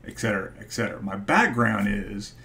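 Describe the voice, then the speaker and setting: A man in his forties with a stutter, talking casually to camera in a halting way, searching for his words. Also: on the low side, animated, bright, forceful tone, slight background noise. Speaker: YouTube host, stock investor. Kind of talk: casual vlog talk to camera. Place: small home office, indoors.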